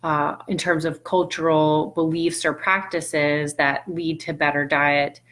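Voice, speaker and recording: A middle-aged woman from Puerto Rico answers audience questions as a nutrition scientist. Her voice sits low, and her talk is thoughtful and unscripted. She pauses on ums and restarts her sentences as she works through each point. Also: little pitch movement, a bit bright. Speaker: nutrition scientist hosting a live Q&A.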